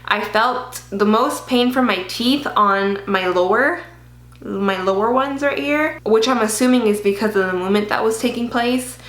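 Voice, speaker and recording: A woman's voice: a little high, moderate pace, a bit bright. A woman talking casually in a personal vlog, with lots of fillers, occasional swearing and a slight lisp from dental aligners. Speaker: vlogger. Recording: roomy echo.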